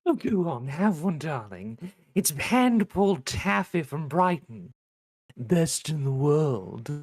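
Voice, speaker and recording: Woman as doting old actress, low voice, unhurried, lively swings, cheerfully contrary, screenplay read. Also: no echo, exaggerated voice. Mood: coaxing, reassuring. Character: half-blind, doting elderly former actress, cheerfully contrary.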